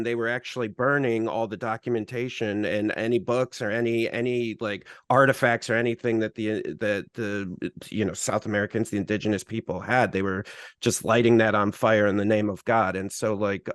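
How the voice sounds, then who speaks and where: A man hosting a podcast interview, asking in a halting, restarting way. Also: on the low side, dry recording, studio quality. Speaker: podcast host.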